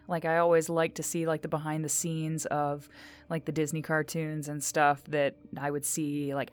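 A woman voice actor giving a casual, reflective personal testimonial in a halting way. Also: low-pitched.

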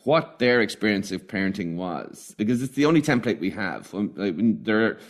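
A man hosting a casual interview, putting his questions in a halting, hesitant way. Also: lowish voice, expressive. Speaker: podcast host.

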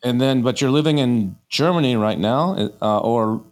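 A man's voice: mid-range voice, moderate pace. A man hosting a podcast, asking questions in a casual, rambling way full of filler words. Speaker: podcast host. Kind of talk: casual interview chat.

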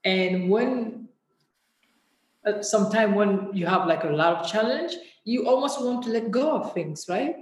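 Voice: mid-range voice, lively intonation, measured pace, a little hiss or hum. Guest, reflective and searching for words, mid-range voice, roomy echo. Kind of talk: candid interview talk.

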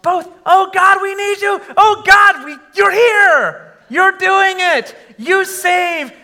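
A man preaching a sermon to a congregation, conversational with fillers, and breaking into animated shouts when he exclaims. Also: very high-pitched, slow pace. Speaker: pastor.

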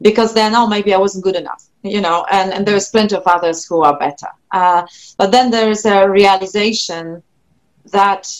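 Polish-accented woman, reflective and halting. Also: mid-range voice, rough recording. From Poland. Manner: thoughtful, frequent restarts and soft laughs. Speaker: guest singer-songwriter. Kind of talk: reflective interview talk.

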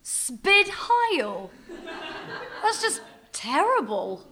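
An actress playing a brash, sardonic young action heroine in a radio play, with a wry, mocking delivery. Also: female voice, very high-pitched, very animated, extremely slow, full character voice.

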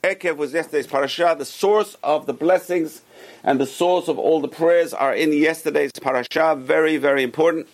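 Man teaching a class, higher voice. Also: moderate pace. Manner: explains step by step, repeats key points, questions the class. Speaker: Torah teacher. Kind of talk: informal class lecture with group discussion. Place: room with students around a table.

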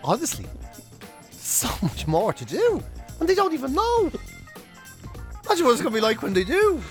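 A man's voice: very high-pitched, huge pitch swings, normal speed, soft background music. A man with an Irish accent co-hosting a radio show, bantering casually with blunt, sweary, self-mocking humour.

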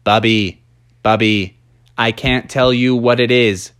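A storyteller voicing a wise, calm bear brother who gives earnest, slow encouragement. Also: mid-range voice, clean tone, voice pushed down.

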